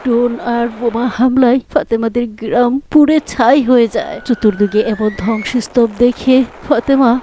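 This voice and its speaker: Lady narrating a cartoon story, steady, mellow voice a bit high, faint hiss. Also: moderate pace.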